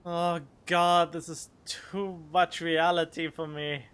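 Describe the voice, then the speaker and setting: A man's voice: a little high, little pitch movement, slow pace, a bit bright, clean tone. A man giving casual, offhand game commentary as a streamer, musing as he plays. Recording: game music behind.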